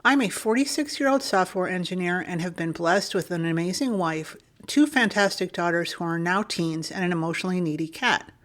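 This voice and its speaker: A woman co-hosting a podcast, reading a listener's email aloud in a steady, clear manner. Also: lowish voice, brisk, hardly any pauses.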